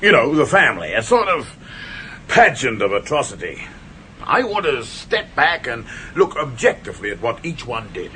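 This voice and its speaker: An actor playing a brash, pushy, overbearing film producer in a radio drama, heard over faint hiss. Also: male voice, mid-range voice, very animated, normal speed, bright, forceful tone. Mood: hearty.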